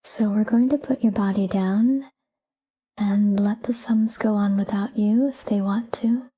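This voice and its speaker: A woman leads a sleep talk-down in a very dark, mellow voice. She speaks softly and breathily, close to a whisper, and keeps an even, calm delivery. Her short phrases are unhurried, with space left between them. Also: mid-range voice, breathy edge. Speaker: sleep meditation guide. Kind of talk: soft guided sleep meditation, whispered talk-down.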